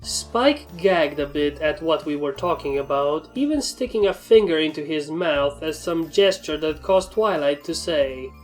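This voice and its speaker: Slow, lowish-voiced woman narrating a story. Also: animated, darkish tone, rough recording. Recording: music behind the voice.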